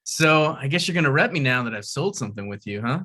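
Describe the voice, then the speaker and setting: Brief: male actor as an insecure young writer, husky voice, lively and pleading. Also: mid-range voice, fast talker. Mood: hopeful. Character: needy, self-deprecating male screenwriter, young adult. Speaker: actor reading the lead at a table read. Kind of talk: comedic table read.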